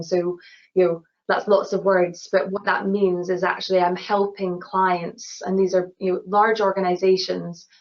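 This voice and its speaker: Glaswegian woman speaking in a flat, even tone. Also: fairly low pitch. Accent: Scottish (Glasgow). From Glasgow, Scotland. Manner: earnest, many restarts and "you know" fillers. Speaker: award-winning engineer guest. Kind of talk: webinar Q&A answers. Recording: dull lo-fi webinar audio.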